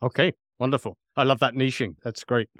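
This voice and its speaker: Man, podcast host, medium pitch, conversational interviewing, agreeable, affirming, dry studio-like sound. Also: fairly even intonation, fairly quick, fairly bright tone, no room sound.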